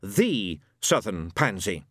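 A man voicing a fussy, elaborately polite angel who speaks like a refined English gentleman. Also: on the low side, very animated, slow pace, no echo, studio quality, exaggerated voice. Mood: prim.

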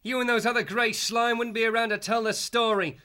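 A man's voice: very high-pitched, fairly even intonation, fairly quick, bright, forceful tone, clean tone. An actor playing a plucky, defiant young male mouse warrior, brash and taunting, in an audiobook drama.

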